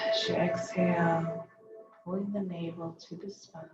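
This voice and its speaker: A woman leads a restorative yoga class, her lowish voice gravelly and strained because she is losing it. She speaks very slowly and softly, easing listeners through breathing and rest. The recording is a little dull and lo-fi. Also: little pitch movement, loud music behind the voice. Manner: slow, soft, soothing guidance. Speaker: yoga instructor. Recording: slightly dull lo-fi audio.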